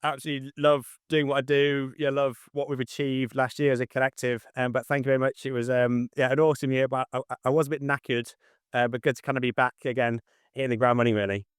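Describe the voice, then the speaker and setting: A middle-aged man giving a casual interview as a guest, slightly monotone, speaking matter-of-factly in clipped phrases. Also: medium pitch, quick pace, clean tone, dry recording, clean studio sound. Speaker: interview guest, estate agent.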